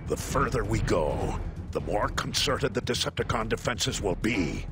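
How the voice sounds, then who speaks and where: Noble giant robot leader, deep n solemn, big swings in tone, loud game music behind. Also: male voice, big pitch swings, a little slow. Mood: solemn, resolute. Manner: solemn, grand, formal speech. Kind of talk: video game voice acting.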